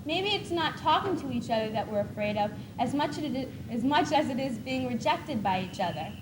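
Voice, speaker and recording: A woman's voice: sits high, expressive, a little fast. A young teenage girl playing a thoughtful, uncertain schoolgirl in a school stage play. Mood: earnest, wistful. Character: thoughtful teenage girl unsure about boys. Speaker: student actor in a school one-act play. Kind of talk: school stage monologue and dialogue. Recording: noisy 1980s school video recording.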